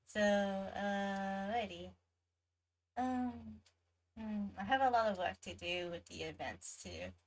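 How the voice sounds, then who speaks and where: A woman's voice: mid-range voice, animated, takes it slowly. A woman hosting a livestream, chatting casually and reacting to a game in an easygoing, hesitant way that trails off between thoughts. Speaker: livestreamer, VTuber host.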